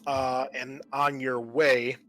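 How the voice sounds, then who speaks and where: A man with a bright, forward voice narrates a tabletop roleplaying game as game master. His delivery is informal and conversational, feeling out the wording as he goes. Music plays faintly behind him. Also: mid-range voice, level tone, takes it slowly.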